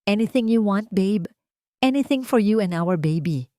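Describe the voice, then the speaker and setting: Woman as wealthy arrogant husband, Filipino accent, indignant, forceful, audio storybook drama, dry sound. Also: medium pitch, animated, normal speed, no room sound, voice pushed up, natural voice. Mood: indulgent. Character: arrogant, hot-tempered wealthy cheating husband.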